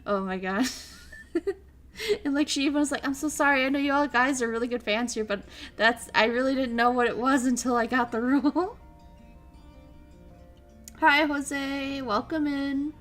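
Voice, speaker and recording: A woman's voice: high-pitched, moderate pace, pauses often, fairly bright tone, soft background music. A young woman streaming a casual chat, animatedly retelling stories, laughing often and answering her chat. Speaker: VTuber streamer.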